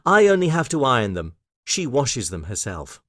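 A man's voice: medium pitch, wildly swooping pitch, normal speed, a bit bright, no echo, clean studio sound. A man voicing a fussy, eager little female hedgehog washerwoman from a children's storybook, with flustered, hesitant politeness. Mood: busy, chatty.

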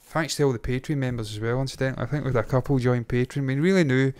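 A man's voice: mid-range voice, racing, darkish tone, clean tone. A Scottish man in middle age talks as a reaction show co-host. He is casual and blunt, swears freely, and speaks close to the mic in a small home studio. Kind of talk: casual review chat. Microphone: close microphone on boom arm.

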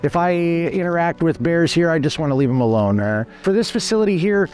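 A man's voice: on the high side, animated, a little fast, darkish tone, faint music behind. A man in his forties explaining a fish hatchery outdoors by a creek, earnest and matter-of-fact with frequent restarts. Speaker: hatchery manager giving a tour. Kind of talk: on-location explanatory tour.

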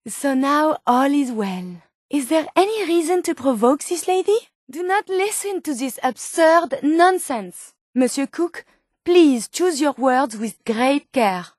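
A woman's voice: high voice, lively intonation, slow, dry recording, clean studio sound. A woman playing an earnest, polite female robot scientist in a video game, with a French accent and formal, precise wording. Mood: disapproving. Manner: formal, precise, carefully worded. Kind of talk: video game character dialogue.

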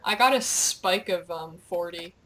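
A young woman chatting casually while gaming, excitable and playful, with goofy exclamations and bits of on-screen text read aloud. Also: fairly low pitch, measured pace, slight background noise, poor audio. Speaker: gamer, video creator. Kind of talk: casual gameplay chat, reading quest captions aloud.

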